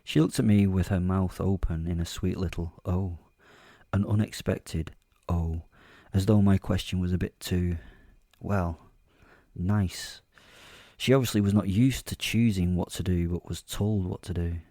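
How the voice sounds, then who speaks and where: A man narrating first-person horror fiction in a measured storytelling manner. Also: low-pitched, fairly even intonation, mellow, no echo, clean studio sound. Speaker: narrator and voice actor.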